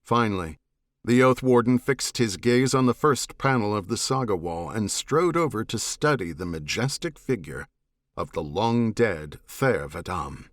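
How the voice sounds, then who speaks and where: A male storyteller reads science fiction prose with serious, dramatic weight. His voice sits fairly low and his phrasing is measured, captured in a very dry studio sound. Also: animated, dry recording. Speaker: audiobook narrator. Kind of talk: scripted narration of science fiction novel.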